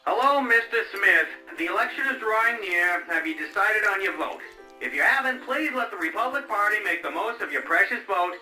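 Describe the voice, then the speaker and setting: A perky, courteous political canvasser in an animated game. His high voice is extremely bright and sharp, delivering scripted patter. It sounds like a distant, echoing answering machine message with faint music behind. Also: brisk, heavily acted. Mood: polite, pushy. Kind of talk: animated video game acting, recorded phone message.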